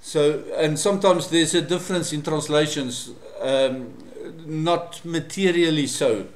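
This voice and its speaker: Afrikaans-man teaching in an echoey room. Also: a little high. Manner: measured and explanatory, with frequent fillers. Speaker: Bible study presenter. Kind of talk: solo teaching monologue to camera.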